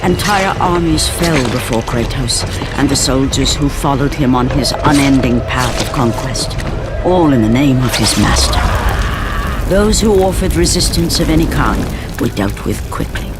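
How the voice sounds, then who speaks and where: A woman's voice: a bass voice, expressive, moderate pace. A woman playing a solemn narrator of an epic Greek tragedy in a video game, grave and portentous. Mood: grave storytelling. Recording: music behind the voice.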